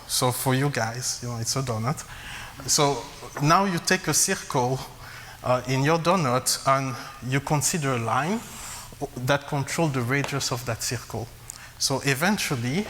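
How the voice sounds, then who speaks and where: A man who grew up in Congo giving a math lecture, halting with frequent fillers and restarts. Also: mid-range voice, fairly bright tone. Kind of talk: academic lecture at a blackboard. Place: lecture hall. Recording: long reverb, faint hiss.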